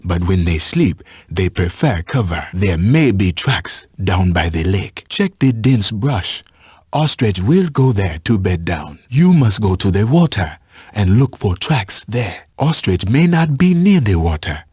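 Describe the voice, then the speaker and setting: An actor playing a patient, watchful African safari tracker in a video game, guiding a hunter in measured, instructive phrases. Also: male voice, fairly low pitch, big pitch swings, a little mellow, slightly rough, no echo, clean studio sound, heavily acted. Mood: calm, instructive. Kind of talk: scripted video game voice lines.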